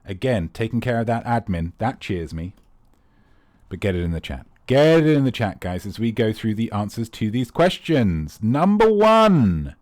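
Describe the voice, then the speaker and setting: Man, quiz host, playful rambling asides, lowish voice, dry close sound. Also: big pitch swings, normal speed, a little mellow, no echo. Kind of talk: live streamed comedy quiz hosting.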